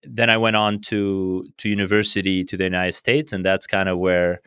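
Male interview guest, low voice in a dry recording, native Arabic speaker from Syria, conversational, halting and repetitive as he thinks. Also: level tone, fairly bright tone, smooth, dry recording. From Syria, raised partly in Spain. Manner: halting, repeats words as he thinks.

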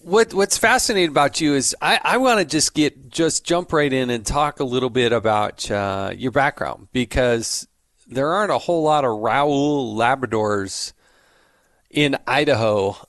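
A middle-aged man interviewing a guest, asking questions in a halting way with many repeated words. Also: mid-range voice, expressive, fairly bright tone, dry recording. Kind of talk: conversational interview.

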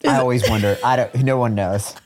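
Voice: mid-range voice, steady tone, darkish tone. Co-host chatting casually, lots of "yeah", asks leading questions. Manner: quick interjections, asks leading questions, laughs. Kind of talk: casual chat.

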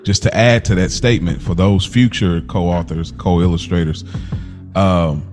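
A man's voice: on the low side, darkish tone. A man co-hosting a podcast in an informal, rambling chat, repeating phrases for emphasis. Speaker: podcast co-host. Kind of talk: casual chat. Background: music.

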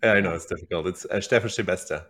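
Male executive guest, thirties, German accent, lowish voice, relaxed conversational interview storytelling. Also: rapid-fire. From Berlin, Germany. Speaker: podcast guest, company executive.

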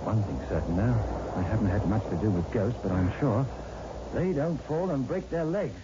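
Actor as sceptical husband in noisy old radio suspense. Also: male voice, on the low side, animated, moderate pace, dull audio, lightly acted. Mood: concerned. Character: sceptical, reassuring husband, rational and a little impatient. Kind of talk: 1960s radio drama acting.

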